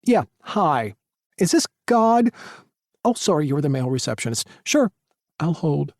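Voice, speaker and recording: A man's voice: a little high, sing-song, unhurried, no room sound, clean studio sound, pitched above the performer's own voice. A man doing a sassy flamboyant young vet. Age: 20s. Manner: theatrical, camp, mock phone call.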